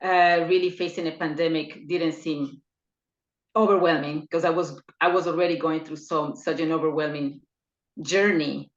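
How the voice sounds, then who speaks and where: Low-voiced middle-aged woman from Ecuador. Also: steady tone, moderate pace. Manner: hesitant, with many fillers and restarts. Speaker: podcast guest. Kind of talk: personal interview. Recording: echoey, dull lo-fi remote line.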